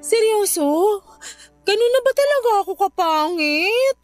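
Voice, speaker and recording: A very high-pitched, heartbroken young woman in a radio drama, with a Filipino accent. She is soft-hearted and plaintive, with faint music behind her. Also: animated, a little slow. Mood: mock-offended.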